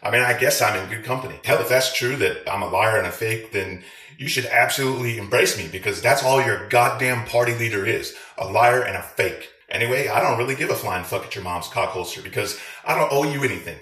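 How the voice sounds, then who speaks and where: A man's voice: lowish voice, fairly quick, sharp tone, roomy sound. A man delivering a profane, sarcastic rant to his viewers as a veteran and video creator. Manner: crude, sarcastic, swearing.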